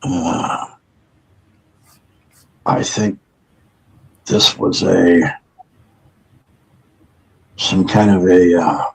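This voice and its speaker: Deep gravelly man under regression. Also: fairly even intonation, darkish tone, rough recording. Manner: halting, hesitant recall. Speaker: regression subject. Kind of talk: recalling under hypnotic regression.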